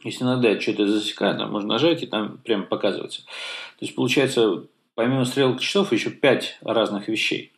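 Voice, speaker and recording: A man's voice: on the low side, brisk, vocal fry. A man co-hosting a casual tech podcast, talking with many fillers and restarts. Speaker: podcast co-host.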